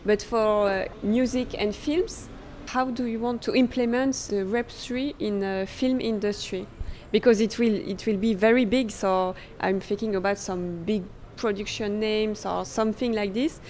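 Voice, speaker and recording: A French-accented woman interviewing a guest in English at a conference, pausing often to search for her words. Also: mid-range voice, fairly even intonation, darkish tone, slight background noise. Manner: halting, searching for words with many fillers. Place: crypto conference venue.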